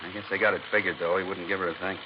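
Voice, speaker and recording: An actor playing a chatty, obliging American pool builder in a 1950s radio drama, with an informal, folksy manner. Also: male voice, low-pitched, flat delivery, rapid-fire, lightly acted. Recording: dull old radio, loud engine noise.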